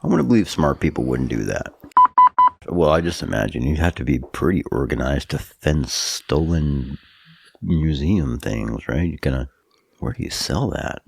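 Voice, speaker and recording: A sniffly man co-hosting a podcast, cracking dry, deadpan jokes. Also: rumbling low, normal speed, creaky, a little hiss or hum. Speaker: podcast co-host. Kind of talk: casual podcast banter.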